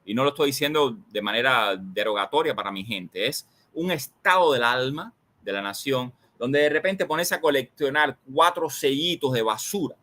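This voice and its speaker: A man with a Cuban accent talks as a guest in a reflective conversation. His voice is bright and forceful at a middle pitch. He develops long, discursive thoughts, repeating and piling up words for emphasis. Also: animated, moderate pace, hardly any pauses. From Cuba. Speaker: interview guest, magazine editor. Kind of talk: conversational political and cultural reflection.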